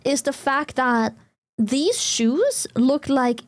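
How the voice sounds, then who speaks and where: German-accented woman streamer, high voice, blunt and giggly, casual chat on a dry mic. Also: lively intonation, slow, dry recording. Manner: laughs often, swears casually, blunt.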